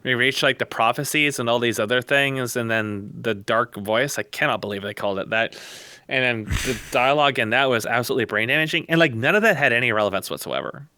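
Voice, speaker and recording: A man's voice: mid-range voice, fairly quick, sharp tone, smooth, dry recording. A man talking casually on a podcast over a voice call, rambling at length with blunt, sardonic, profane opinions. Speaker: podcast guest. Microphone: close mic on voice chat.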